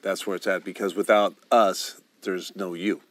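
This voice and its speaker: A man's voice: low voice, little pitch movement, normal speed. A man hosting a TV interview, casual, asking questions and often giving encouraging "mm-hmm" replies. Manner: frequent encouraging "mm-hmm" backchannels. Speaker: TV interview host.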